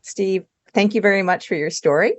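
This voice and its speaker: A woman's voice: mid-range voice, normal speed, dry recording. A woman chatting casually as a podcast co-host. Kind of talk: casual chat.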